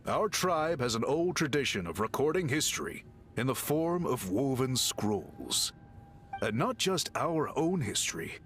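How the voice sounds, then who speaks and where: Calm serious tribe chief in a game, formal and patient, voice moves up and down a lot. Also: male voice, mid-range voice, a little hiss or hum. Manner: formal, measured, explaining patiently. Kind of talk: game voice acting.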